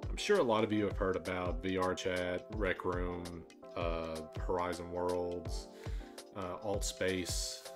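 A man's voice: sits low, flat delivery, slow pace. A man in his thirties giving a casual vlog introduction, speaking as the host. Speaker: video host. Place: indoor room with sofa, purple lighting. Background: music.

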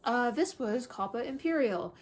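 A woman in her twenties with a somewhat high-pitched voice gives a casual book review. She speaks in an opinionated, expressive way, stressing her points as she talks to the camera in a small indoor room. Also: moderate pace, a little hiss or hum. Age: 20s.

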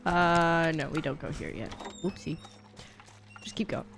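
A woman's voice: sits low, measured pace, a bit bright. Chatty woman streamer over music. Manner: chatty, playful, reading and answering chat aloud. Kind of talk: casual live gameplay commentary.

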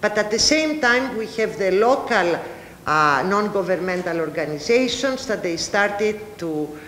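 A middle-aged woman with a Greek accent, a native Greek speaker, giving an academic panel presentation. She speaks haltingly, with frequent filler pauses. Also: medium pitch, lively intonation, a bit bright, slight background noise. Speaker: university lecturer presenting research. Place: large ballroom, panel table. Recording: echoey hall with long reverb.

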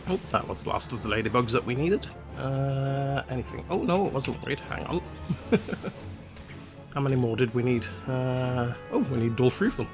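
A man hosting a let's play, with his nose a little stuffed up from a cold. He rambles casually through his gameplay with plenty of fillers, at a middle pitch, over faint game music. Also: moderate pace. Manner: relaxed, rambling, with frequent "uh" fillers. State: slightly congested nose from a cold.

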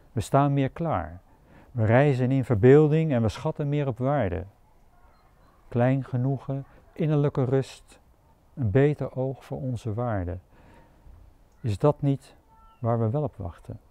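Slow, mellow man reciting poetry. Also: mid-range voice, creaky, no room sound. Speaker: poet.

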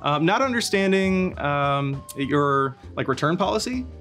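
A man in his thirties explaining a marketing topic in a bright studio, hesitant with frequent fillers and restarts, often asking his co-host leading questions. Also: a little high, expressive, measured pace, music in the background. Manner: asks the listener leading questions. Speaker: marketing expert. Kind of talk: casual scripted company explainer chat. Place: bright studio with brick wall, standing.

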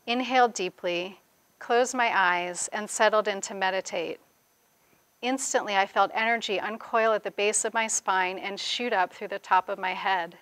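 Bright-voiced woman author reading aloud. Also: mid-range voice, no echo. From grew up in the Bahamas. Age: 40s. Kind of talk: reading aloud from own memoir, with spoken commentary. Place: indoors, seated before a plain wall at a reading event.

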